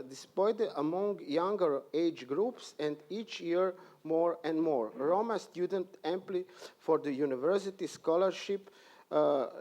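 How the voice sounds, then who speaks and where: Halting Croatian-accented man, slightly high. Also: a little mellow. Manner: halting non-native English with frequent pauses. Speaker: conference panelist. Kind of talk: presenting statistics at a panel. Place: conference hall.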